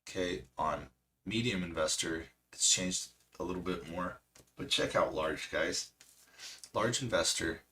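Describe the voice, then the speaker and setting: A man hosting a stock trading stream, hoarse and losing his voice, chatty and self-mocking with a cracking voice. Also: low-pitched, pushed and bright. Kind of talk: casual market commentary.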